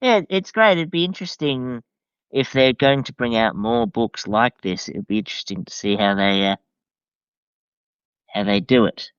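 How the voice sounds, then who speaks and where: Man, podcast guest. Smooth mid-pitched voice, hesitant and mild, on a slightly muffled recording. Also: moderate pace, a bit bright, dry recording. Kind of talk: casual conversational book review.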